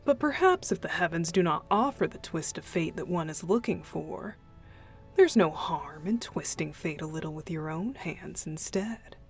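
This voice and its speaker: A woman voicing a playful, mischievous young male deacon in an unhurried, teasing ASMR roleplay style. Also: sits low, expressive, soft background music. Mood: sly, musing. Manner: teasing, unhurried, chuckling, breathy asides.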